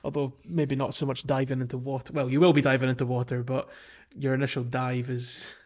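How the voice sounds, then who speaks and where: A man co-hosting a podcast, chatting casually about a video game in a halting way, often restarting his sentences. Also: mid-range voice, little pitch movement, darkish tone, muffled recording. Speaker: podcast co-host.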